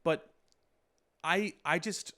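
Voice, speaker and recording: A man's voice: on the high side, drawn-out words, sharp tone. A man co-hosting a casual podcast chat, rambling through his points with frequent restarts and fillers. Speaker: podcast co-host.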